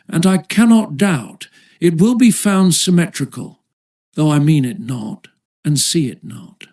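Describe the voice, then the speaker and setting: Male audiobook narrator, slightly high voice, measured and formal delivery for classic essay prose. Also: darkish tone.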